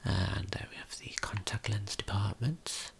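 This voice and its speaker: Man, breathy soft whisper, creaky edge, slow pace, gentle ASMR tour guide. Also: on the low side, steady tone. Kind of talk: ASMR video game tour narration.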